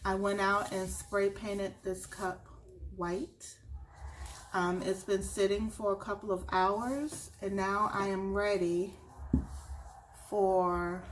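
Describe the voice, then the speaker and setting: A woman talks unhurriedly through her craft project, her voice at an ordinary middle pitch. She sounds a little sniffly from allergies. A faint background hiss sits under the home recording. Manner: slow, relaxed, talks while working. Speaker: crafter showing a tumbler project. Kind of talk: casual craft tutorial.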